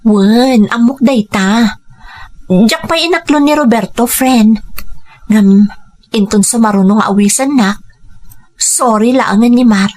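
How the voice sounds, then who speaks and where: A woman playing an ambitious young woman dreaming of a rich suitor in a Filipino audio drama, with breathy asides and hesitant confessions. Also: on the high side, takes it slowly, long pauses, a little mellow. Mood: dreamy, confiding.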